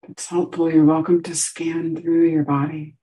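A woman teaching a guided meditation, giving gentle instructions with long pauses between short phrases. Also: low-pitched, level tone, rough recording.

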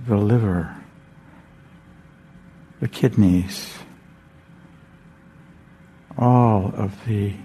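A man guides a meditation in a mellow, gravelly voice, speaking very slowly. His phrases are short and gently spoken, with long pauses between them. A faint hiss sits behind the voice. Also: on the low side. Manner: gentle, unhurried, repeating phrases. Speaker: meditation teacher.

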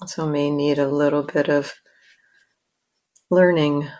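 Woman leading a guided meditation, really slow and pretty flat, lots of long pauses. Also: low-pitched, rough recording. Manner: measured, with long pauses between short phrases. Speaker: meditation teacher.

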